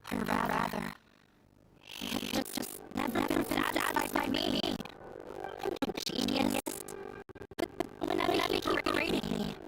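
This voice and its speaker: Sad young game girl, gives up easily, creaky slow voice, music behind. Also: very deep voice, completely flat delivery, exaggerated voice, rough recording. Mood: sad, bitter. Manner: downcast, resigned, earnest. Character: dejected, self-blaming young woman, daughter of demanding scholar. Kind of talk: video game voice acting.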